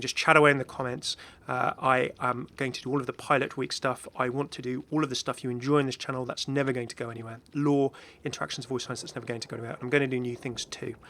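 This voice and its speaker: Chatty man with bright, creaky voice. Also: mid-range voice. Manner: chatty, rambling. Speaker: gaming YouTuber. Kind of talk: casual unscripted video commentary and channel update.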